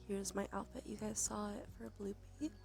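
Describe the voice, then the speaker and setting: Creaky-voiced woman streaming softly. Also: medium pitch, steady tone, normal speed. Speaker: livestream host. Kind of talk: casual ASMR stream chat. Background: background music.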